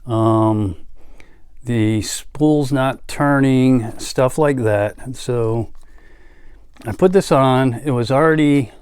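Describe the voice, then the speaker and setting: A man chatting casually as a collector and vlogger, halting and trailing off into asides as he shows items. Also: mid-range voice, a little mellow, clean tone, dry recording, clean studio sound. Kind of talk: casual show-and-tell chat.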